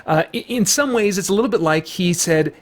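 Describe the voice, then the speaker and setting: A man's voice: high-pitched, expressive, fairly quick. A man who hosts a gaming and faith channel, talking in a casual commentary style as if thinking aloud to himself. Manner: talks to himself while playing. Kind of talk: casual gameplay commentary and devotional talk.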